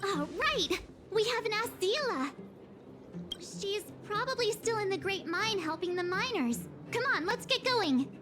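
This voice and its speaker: Earnest young girl adventurer, really high voice, expressive game dialogue, music under it. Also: measured pace. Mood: eager. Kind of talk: video game voice acting.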